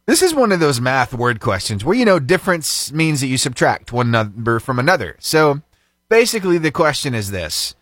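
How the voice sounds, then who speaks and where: Animated radio host man, lively delivery. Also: medium pitch, moderate pace, dry recording, clean studio sound. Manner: animated, fervent praying then chatty and upbeat. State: slightly itchy throat, voice lower than usual. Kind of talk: prayer and casual radio chat. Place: radio studio. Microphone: studio microphone.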